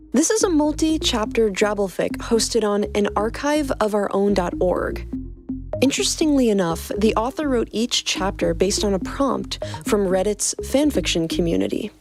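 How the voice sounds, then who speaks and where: A woman hosting a podcast and reading scripted fan fiction as narrator. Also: medium pitch.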